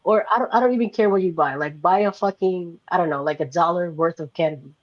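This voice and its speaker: A man's voice: high-pitched, fast, no echo, highs cut off. A young man from the Philippines answering interview questions casually, explaining with lots of fillers and "right?" checks. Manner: loose, filler-heavy explaining with frequent "like" and "right?". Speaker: guest entrepreneur.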